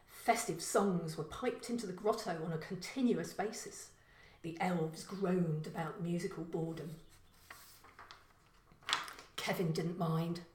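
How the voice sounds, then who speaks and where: Slow-paced woman storyteller in a roomy space. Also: fairly low pitch, a little mellow, a little hiss or hum. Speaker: author reading own short story. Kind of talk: scripted narration of a story.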